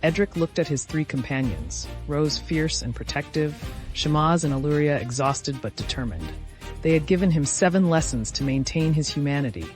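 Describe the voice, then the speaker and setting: Animated male fantasy narrator over music. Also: fairly high pitch, normal speed. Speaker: audio story narrator. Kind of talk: dramatic scripted fantasy narration.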